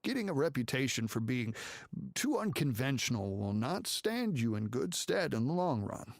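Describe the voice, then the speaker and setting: A man's voice: mid-range voice, sing-song, normal speed, vocal fry, no echo, clean studio sound. A man playing a fussy, condescending academic official in a video game, with a patronising, hedging delivery. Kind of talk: dramatic game voice acting.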